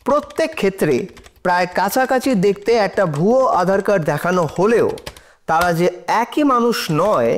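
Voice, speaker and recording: Earnest high-voiced male courtroom advocate. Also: lively intonation. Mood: firm, explaining. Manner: earnest, deliberate, respectful courtroom argument. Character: Aniket, earnest male advocate arguing a trafficking case in court. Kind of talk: Bengali audio drama acting. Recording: dramatic music under the voice.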